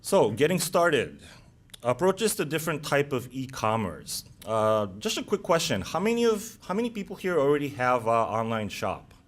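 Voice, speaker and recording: A man in his thirties from Japan, a native Japanese speaker with a Japanese accent, giving a seminar presentation in a halting way with many "uh" fillers. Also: medium pitch, sing-song. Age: 30s. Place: seminar room.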